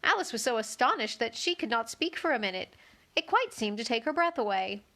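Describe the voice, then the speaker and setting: A woman's voice: fairly high pitch, big pitch swings, a little fast, sharp tone. A woman narrating a children's book aloud as a storybook reader.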